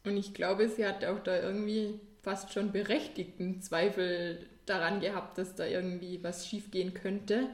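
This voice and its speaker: Woman co-hosting a podcast, measured and thoughtful, weighing her words with the odd filler. Her voice sits at a middle pitch and carries a noticeable roomy echo. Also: level tone, a little mellow. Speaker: podcast co-host. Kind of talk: casual chat.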